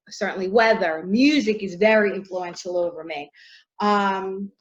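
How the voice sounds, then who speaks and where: A middle-aged actress answering interview questions in a casual, chatty way, full of fillers and often trailing off softly. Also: female voice, medium pitch, muffled recording.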